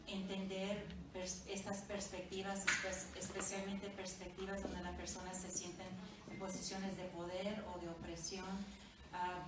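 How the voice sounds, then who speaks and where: A woman from Honduras raised in the United States, a family therapist giving hesitant remarks at a book presentation, searching for words. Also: mid-range voice. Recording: echoey distant room recording with noise.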